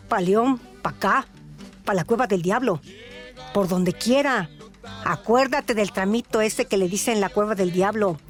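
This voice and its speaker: A woman's voice: medium pitch, lightly acted. An indignant small-town Mexican woman as a female narrator acts her gossips in colloquial speech. It is radio-drama testimony in a mid-range voice over music.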